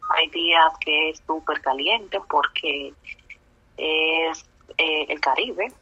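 A woman from the Republic, answering oral history questions slowly, with hesitant fillers. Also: low voice, sharp tone, husky. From Dominican Republic. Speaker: interviewee. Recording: muffled, dull lo-fi audio.

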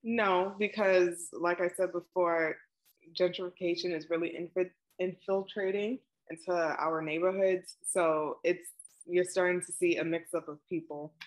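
A woman, answering questions in a relaxed oral history interview over a video call, with plenty of ums and likes. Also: fairly low pitch, unhurried, rough recording. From Brooklyn, New York. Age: 20s.

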